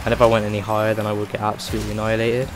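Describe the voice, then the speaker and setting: A man hosting a gaming channel, chatting casually over his gameplay and rambling with lots of fillers, his voice rough from a cold. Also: fairly low pitch, monotone, rapid-fire, background noise.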